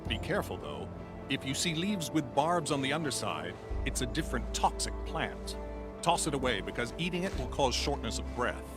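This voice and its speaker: A man's voice: mid-range voice, very animated, moderate pace. An actor playing a careful, knowledgeable male remedy instructor in a game cutscene, speaking in a cautioning, instructive way. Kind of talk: dramatic video game cutscene acting. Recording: music behind the voice.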